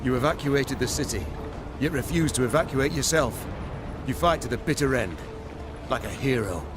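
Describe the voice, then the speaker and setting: A loyal, reverent soldier of rank in a fantasy game, acted by a man. His medium-pitched voice is formal and earnest. Clear background noise surrounds it. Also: animated, moderate pace, lightly acted. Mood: earnest admiration. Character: earnest, loyal, respectful male knightly officer. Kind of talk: dramatic video game acting. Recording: noisy background ambience.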